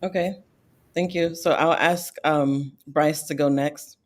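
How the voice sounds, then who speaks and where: A woman hosting a virtual panel discussion over a video call, posing questions in a measured way with some "um"s. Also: low-pitched, steady tone. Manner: measured, fills pauses with "um". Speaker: host and moderator.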